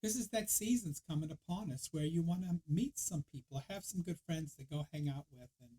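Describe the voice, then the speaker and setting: A man hosts a relaxed spiritual podcast in a chatty, wandering way. His voice is hoarse and worn, sitting a little high for a man. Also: fairly quick, slight background noise, low-quality recording. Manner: chatty, loose and rambling. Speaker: podcast host. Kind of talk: casual spiritual podcast chat.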